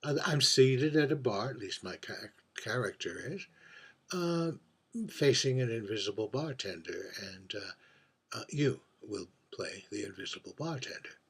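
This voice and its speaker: A man with a husky voice informally introducing a reading of his own writing, halting and hesitant as he goes. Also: lowish voice, expressive. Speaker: writer reading own monologue.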